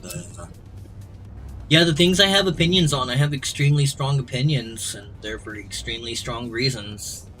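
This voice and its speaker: Male streamer, mid pitch, droning rambling rants, music playing behind. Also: moderate pace, fairly bright tone, rough recording. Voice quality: droning when ranting. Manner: rambling ranting with restarts. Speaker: gaming streamer. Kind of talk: casual livestream chat. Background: clear background music.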